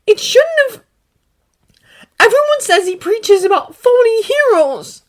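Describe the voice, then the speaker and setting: A woman voicing a distraught teenage boy, a hero student, crying and shouting through his anger. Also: extremely high pitch, brisk, voice pushed up. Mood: tearful anger. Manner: tearful, breaking, shouting outbursts.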